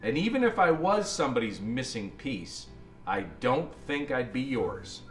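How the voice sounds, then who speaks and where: A man's voice: medium pitch, sing-song, measured pace, roomy sound, faint music behind, voice pushed down. Guy doing a blunt little storybook puzzle piece, firm, matter of fact, takes its time. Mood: blunt, dismissive. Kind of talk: storybook character voice.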